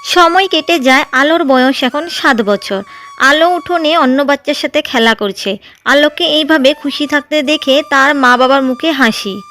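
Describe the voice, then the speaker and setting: নারী কথক উঁচু গলায় কার্টুন গল্প বলছেন. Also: female voice, high-pitched, normal speed, bright, forceful tone, faint music behind. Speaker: narrator and voice of Seema. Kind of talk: storybook cartoon narration.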